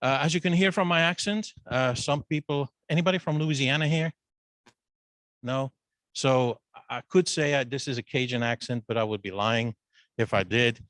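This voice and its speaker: A man's voice: mid-range voice, moderate pace, a bit bright, dry recording. Middle-aged Belgian man, Flemish accent. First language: Dutch. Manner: loose and conversational, restarts with fillers. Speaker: business school dean. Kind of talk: introductory presentation at a meeting. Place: meeting with a Zoom link. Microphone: handheld microphone.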